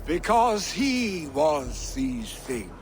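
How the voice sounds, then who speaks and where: A grieving young heir of a Viking clan for a video game. His voice sits fairly high for a man and moves very slowly, declaiming with solemn weight, torn between duty and love of battle. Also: sing-song, slight background noise, exaggerated voice. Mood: solemn, proud. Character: grieving Viking jarl's son, battle-hungry, reluctant heir. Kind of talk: dramatic video game acting.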